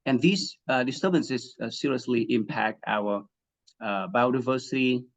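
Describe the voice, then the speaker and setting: A man from Vietnam giving an academic webinar talk, halting with many fillers and restarts and frequent throat clearing. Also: medium pitch, dull audio. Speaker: guest speaker, assistant professor.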